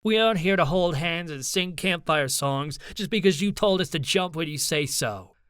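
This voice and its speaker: A man's voice: medium pitch, measured pace, smooth, no echo, close to an everyday voice. Man voicing a snide, combative young hothead. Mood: furious. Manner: dry, snide, demanding. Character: foul-mouthed, snide, combative young adult, scornful and angry.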